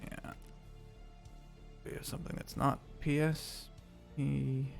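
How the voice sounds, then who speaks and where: A man's voice: mid-range voice, level tone, slow, gravelly, soft background music. A man streaming a video game live, chatting casually with viewers, rambling with lots of fillers as he loots and sorts gear.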